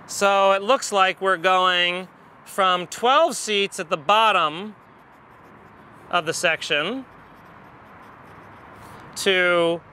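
An American man in his forties teaches outdoors, with faint ambient noise behind him. His voice is high for a man and bright, with a forceful edge. He works through his explanation methodically, thinking aloud one step at a time. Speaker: math educator. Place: outdoors in an empty football stadium. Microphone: lapel.

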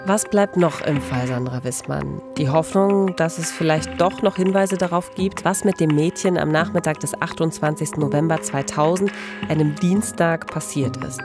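A woman, narrating a true-crime podcast as host in a measured, scripted style. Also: deep voice. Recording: voice over music bed.

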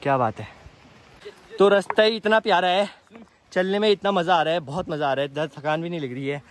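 A man's voice: fairly high pitch, brisk. A young man in his twenties vlogging outdoors on a hillside trail, joking and teasing his friends into a phone held at arm's length. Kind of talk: casual travel vlog.

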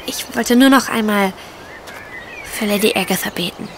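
A woman's voice: medium pitch, normal speed, background noise, close to an everyday voice. An actress playing a nervous, naive young lady in a German audio drama, hesitant and evasive. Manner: hesitant, flustered.

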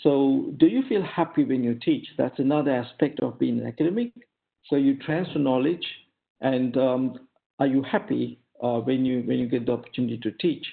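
A man with a Sri Lankan accent, a native Sinhala speaker, giving an informal career lecture in a halting way, often checking in with short tag questions. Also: medium pitch, gravelly. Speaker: academic giving a career talk. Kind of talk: informal lecture over slides. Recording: thin, phone-like online audio.

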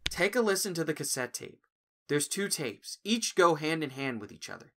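A man's voice: a little high, big pitch swings, normal speed, pushed and bright, full character voice. A man playing a nervous but clever young human in a cartoon audio drama, hesitant and wry. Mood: confident.

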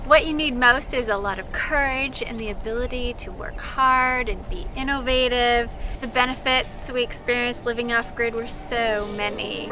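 Earnest woman pitching her homestead. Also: recorded from a distance, rough recording. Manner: earnest, inviting sales pitch. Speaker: homeowner presenting her property for sale. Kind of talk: scripted promotional voice-over.

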